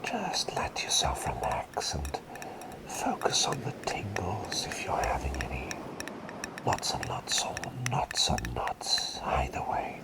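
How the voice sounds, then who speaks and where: Guy acting as a soothing masked plague doctor, slow breathy whisper, playful asmr roleplay. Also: extremely low pitch, huge pitch swings, full character voice, rough recording. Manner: soothing, playful, polite murmuring.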